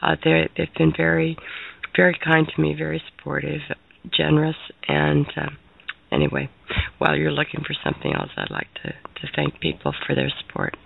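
A man's voice: a little high, sing-song, normal speed, vocal fry, slight background noise. A man on a talk radio show chiming in with short interjections and a longer, rambling thank-you. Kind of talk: brief reactions and remarks on talk radio. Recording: tinny phone-like radio audio.

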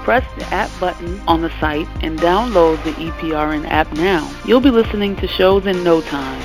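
An announcer reading a scripted network promo in a smooth, even delivery. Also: medium pitch. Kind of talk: scripted ad read. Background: music.

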